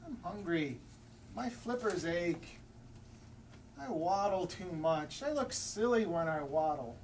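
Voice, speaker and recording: A man's voice: high voice, expressive, takes it slowly, heavily acted. A man voicing a grumpy, whiny penguin who complains peevishly in a children's picture-book read-aloud. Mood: grumpy, complaining. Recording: distant mic, faint background noise.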